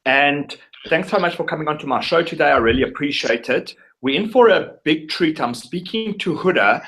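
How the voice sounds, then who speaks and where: A man's voice: a little high, expressive, normal speed, fairly bright tone, low-quality recording. A man, hosting a casual interview with upbeat enthusiasm and some stumbles. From South Africa. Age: 30s. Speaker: interview host.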